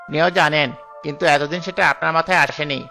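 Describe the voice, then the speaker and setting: A man's voice: fairly high pitch, fairly even intonation, quick pace, sharp tone, soft background music. A man voicing a bold, scheming thief's sidekick in a cartoon, conspiratorial and urging. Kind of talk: cartoon dialogue.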